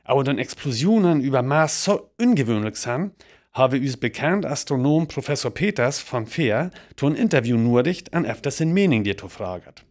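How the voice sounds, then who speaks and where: A sober man playing a radio newsreader delivers scripted bulletins in a North Frisian accent. His voice is of medium pitch, in the formal manner of a radio drama announcer. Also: animated, moderate pace, darkish tone, lightly acted.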